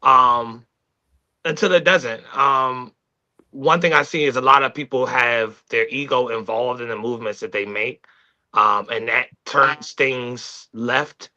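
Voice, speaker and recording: A man talking casually on a live discussion show, reflective and halting as he works through his thoughts. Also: medium pitch, steady tone, sharp tone, muffled recording. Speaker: talk show team member.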